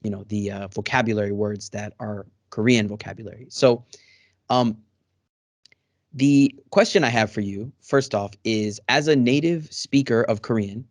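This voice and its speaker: A man's voice: on the low side, moderate pace, dry recording, clean studio sound. A man hosting a casual interview over a video call, friendly and rambling with many fillers. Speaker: host. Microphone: studio microphone.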